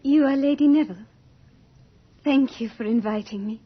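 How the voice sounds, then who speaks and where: An actress playing Death as a lovely, shy young girl in an old-time radio drama, sweetly polite and breathy. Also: high voice, normal speed. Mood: apologetic. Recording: dull old radio recording, faint music.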